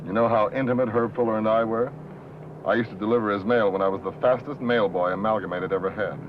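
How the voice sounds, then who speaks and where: A man's voice: lowish voice, fairly even intonation, quick pace, natural voice. An actor playing a wise-guy radio reporter in a 1950s film drama. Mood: sardonic, dry. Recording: tinny, narrow 1950s film soundtrack, faint noise.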